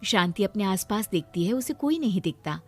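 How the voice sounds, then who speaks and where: A woman's voice: mid-range voice, animated, normal speed, faint music behind. Woman narrating a moral story.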